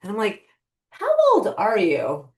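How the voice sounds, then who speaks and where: A woman's voice: medium pitch, unhurried. A woman in her fifties, a guest on a podcast, chatting casually and telling stories with lots of restarts and acted-out quotes. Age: 50s. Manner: animated storyteller, many restarts and acted quotes. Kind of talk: casual interview chat. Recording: roomy echo.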